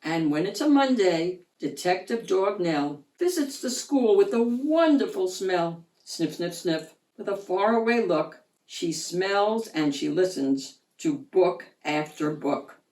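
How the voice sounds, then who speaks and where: A woman reading a rhyming picture book aloud for children, in an expressive, sing-song way. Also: fairly low pitch, slow, hardly any pauses. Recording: roomy echo, distant mic.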